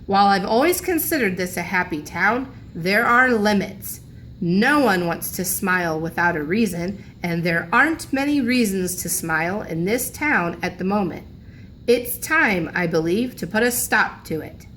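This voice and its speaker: A woman reading aloud as an earnest small-town male pastor making a speech in a children's storybook, in deliberate phrases. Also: mid-range voice, animated, fairly bright tone, a little hiss or hum, lightly acted. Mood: earnest, firm.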